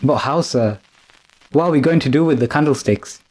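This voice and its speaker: A man's voice: medium pitch, moderate pace, darkish tone, natural voice, low-quality recording. A man playing a nervous, horrified, obedient manservant in a radio horror drama, anxious and deferential.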